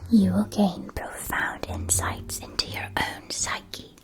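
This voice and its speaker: Woman playing a whispering, gentle witch shopkeeper. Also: medium pitch, fairly even intonation, natural voice. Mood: gentle, mysterious. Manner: soothing, slow, precise, theatrical storytelling. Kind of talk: whispered ASMR roleplay.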